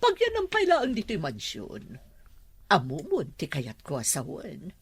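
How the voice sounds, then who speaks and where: Husky, dramatic suspicious older matriarch. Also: female voice, fairly low pitch, huge pitch swings, a little slow, full character voice. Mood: indignant, suspicious. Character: suspicious, stern wealthy older mother, probing matriarch. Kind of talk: Ilocano radio drama acting.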